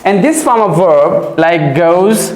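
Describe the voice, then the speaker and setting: High-voiced man teaching in an echoey classroom. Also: expressive, slow pace, darkish tone. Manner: didactic, repeats key phrases, checks with "okay?". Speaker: English teacher. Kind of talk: classroom grammar lesson, explaining and questioning pupils. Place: indoor classroom with pupils.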